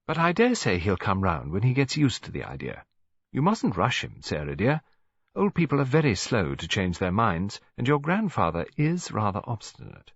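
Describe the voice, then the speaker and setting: Gentle, lilting old English grandmother played by a man. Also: fairly low pitch, huge pitch swings, normal speed, breathy edge, no room sound, lo-fi sound, full character voice. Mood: soothing, reasonable. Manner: gentle, chatty, reasonable, fond. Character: kindly elderly English grandmother, gently scheming matchmaker.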